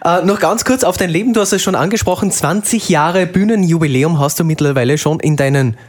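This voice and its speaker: Chatty Austrian man, high voice. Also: quick pace. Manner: chatty, friendly prompting questions. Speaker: podcast host. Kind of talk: casual interview talk.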